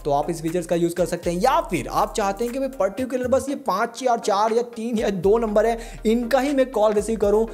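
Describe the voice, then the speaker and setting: A man's voice: high voice, darkish tone. A man hosting a smartphone tips tutorial in an informal, chatty way, talking to viewers like buddies. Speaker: tech tutorial host.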